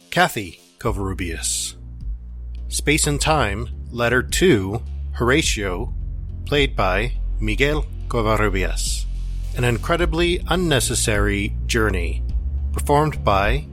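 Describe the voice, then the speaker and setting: Slow-paced male credits announcer over music. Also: mid-range voice, animated, fairly bright tone.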